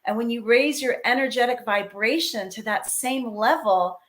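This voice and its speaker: A woman's voice: on the high side, normal speed. A woman mentor giving earnest, encouraging advice in a casual panel chat over a video call. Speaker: mentor expert.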